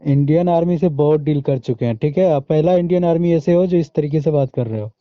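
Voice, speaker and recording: A man's voice: a little high, brisk, dry recording. An Indian man on a muffled recorded phone call, chatting informally and pushing back with questions. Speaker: online seller on a phone call. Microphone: phone line.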